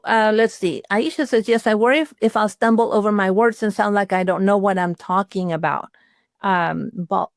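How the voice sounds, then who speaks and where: A woman's voice: medium pitch, no echo, clean studio sound. An older woman from Chile, a retired accountant, giving a conversational instructional webinar and walking through sample phrases.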